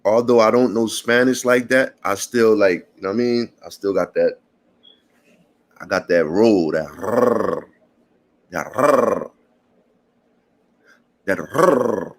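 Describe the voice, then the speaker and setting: A man, chatting casually to passersby and viewers in a relaxed morning vlog, calling everyone brother and man. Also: lowish voice, takes it slowly, pauses often. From Puerto Rican family. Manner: laid-back, slangy, calls people brother and man. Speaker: vlogger.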